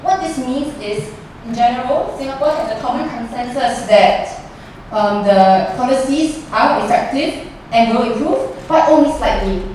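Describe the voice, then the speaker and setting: A woman's voice: on the high side, moderate pace, a little mellow, a little hiss or hum. A young woman, a student presenter, steadily explaining survey findings. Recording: roomy echo from far off.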